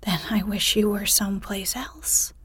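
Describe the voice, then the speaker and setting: A woman voicing the grumpy, fearful wife of a giant, speaking in a glum, breathy whisper. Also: mid-range voice, fairly even intonation, a little slow, no echo. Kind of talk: whispered storybook reading.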